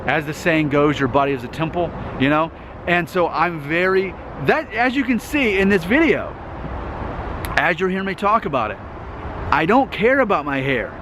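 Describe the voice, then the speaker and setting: A man's voice: a little high, expressive, normal speed. A man in his thirties talking to camera in an earnest vlog monologue. He repeats himself and keeps checking in with "okay?". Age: 30s. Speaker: YouTube host. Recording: noisy outdoor recording with traffic.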